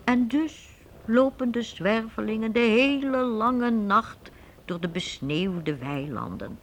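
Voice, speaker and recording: An actress as the storyteller of a radio play, narrating in an expressive, deliberate and dramatic way. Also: female voice, on the high side, a little hiss or hum, low-quality recording. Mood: explaining, suspenseful. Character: female storyteller, explaining, vivid and dramatic.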